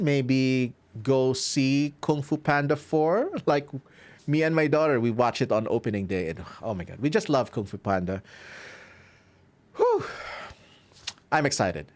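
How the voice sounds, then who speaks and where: Man, Filipino accent, thirties, streamer, casual sign-off, upbeat, mid pitch. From Philippines. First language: Filipino language. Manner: chatty, upbeat, chuckles often. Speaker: streamer and violinist. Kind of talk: casual livestream sign-off to chat.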